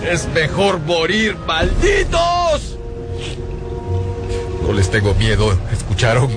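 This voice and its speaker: A desperate, defiant man curses his enemies in a radio drama. His strained voice swings wildly and drags out slowly, with music clearly behind it. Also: fairly high pitch, wildly swooping pitch, exaggerated voice. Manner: strained, drawn-out shouting.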